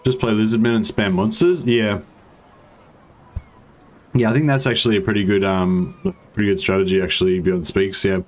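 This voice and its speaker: A man with a deep voice giving casual, rambling commentary while playing a strategy game. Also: moderate pace, pauses often, slight background noise. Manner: rambling, relaxed, many fillers. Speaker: gaming streamer. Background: faint game music.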